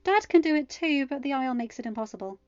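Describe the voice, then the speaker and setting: A woman's voice: high voice, expressive, quick pace, darkish tone, smooth, no room sound, dull audio, voice pushed up. A woman voicing a playful, affectionate teenage daughter in a storybook, teasing and informal.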